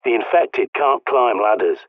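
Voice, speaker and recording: An actor playing a blunt, sweary, paranoid survivalist mentor in a video game, with an English accent, heard through a radio filter. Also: male voice, medium pitch, expressive, moderate pace, dry recording. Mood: grim, instructing. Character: gruff paranoid doomsday survivalist man, bossy mentor. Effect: radio transmission filter. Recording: muffled narrow-band radio sound.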